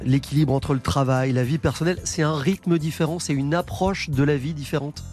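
Man, radio host, chatty, lively, slightly high voice, faint music. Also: brisk. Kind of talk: radio interview.

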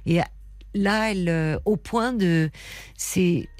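A woman hosting a late-night radio call-in show, speaking gently and soothingly as she listens and sums up callers' stories. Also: on the low side, slow pace, no echo. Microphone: studio microphone.

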